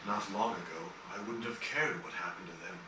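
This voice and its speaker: A man playing a gentle, melancholy dying composer in a fantasy game, speaking in a reflective, earnest, measured way with formal phrasing. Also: lowish voice, lightly acted. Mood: wondering, reflective. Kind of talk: dramatic game cutscene dialogue. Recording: distant, echoey, noisy game capture.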